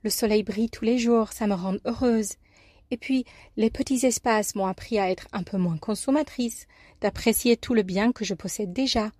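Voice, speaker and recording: A woman with an American accent and a fairly high voice delivers a personal radio column. She tells her story in an expressive, deliberate way. The sound is dry and close. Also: no echo. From United States, New York. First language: English. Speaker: life coach presenting a radio column. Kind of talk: scripted personal talk, storytelling.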